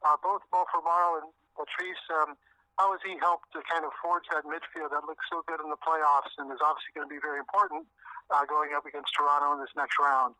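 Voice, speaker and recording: A man asks a question as a sports journalist on a conference call. His voice is somewhat high and very bright, and the sound is dry. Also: little pitch movement, quick pace, no room sound, poor audio.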